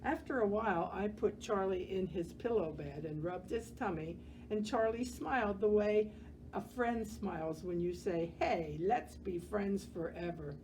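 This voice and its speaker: A woman reading a children's picture book aloud as a library storyteller, gentle and expressive, pausing to comment on the pictures. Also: medium pitch, slight background noise, poor audio.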